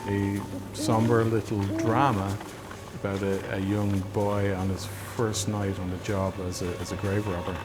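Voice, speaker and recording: A man reminiscing in a documentary interview as a filmmaker, in a halting, thoughtful way with frequent pauses. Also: fairly low pitch, over loud music. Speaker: film director and effects artist being interviewed. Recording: steady background noise.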